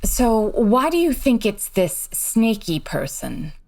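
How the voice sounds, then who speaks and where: An actress playing a poised, take-charge high-society lady detective in a period radio drama, businesslike and polite in her questions. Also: very animated, slow pace, creaky, a little mellow, exaggerated voice. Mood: inquisitive, composed.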